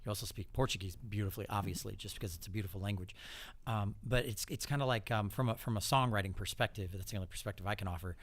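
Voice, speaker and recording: A man's voice: lowish voice, quick pace. A man hosting a radio show, talking conversationally as he interviews a guest.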